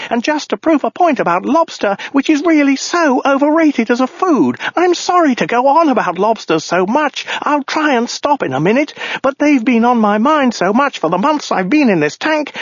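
A man's voice: extremely high pitch, no room sound, lo-fi sound, voice pushed up. A man voicing a frantic, rambling, obsessive madman, a lone survivor in a comic science fiction audiobook. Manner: hysterical, rambling, obsessive, babbling.

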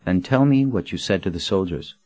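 Stern woman in command, controlled menace, read by a man; low voice, lo-fi. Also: expressive, a little fast, darkish tone, no room sound, faint music behind. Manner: firm, threatening, controlled. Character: stern commanding woman leader, cold and firm.

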